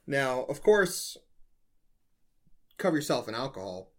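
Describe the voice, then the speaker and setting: A man's voice: medium pitch, big pitch swings, measured pace. A man co-hosting a podcast, chatting casually and riffing with jokes. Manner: joking, riffing. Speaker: podcast co-host. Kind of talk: casual comedic chat.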